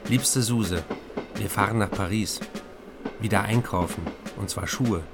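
A man's voice: on the low side, unhurried, over music. An actor playing a self-assured, upbeat German writer reading his letters home in a radio play, in a confiding way. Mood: fond, teasing.

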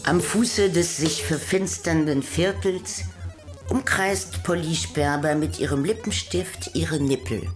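A woman's voice: sits low, slow pace, faint music behind. A woman playing a solemn narrator of a city at night, reading poetic text in a German radio play. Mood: solemn, unhurried.

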